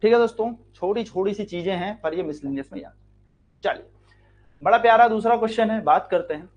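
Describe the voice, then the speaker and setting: High-pitched indian guy doing an exam maths class, keeps asking the class questions then answers them, repeats stuff and stretches words. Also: a little fast. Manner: explains step by step, asks the students questions and answers them. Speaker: maths teacher. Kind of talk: exam-prep maths lesson.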